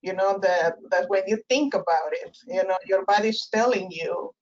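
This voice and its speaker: A woman's voice: medium pitch, moderate pace, a little mellow, highs cut off. A woman whose first language is Spanish, speaking with a Spanish accent as a guest professor in a webinar interview, thoughtful and full of restarts. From Spanish-speaking country. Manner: thoughtful, many fillers and restarts. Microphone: video call.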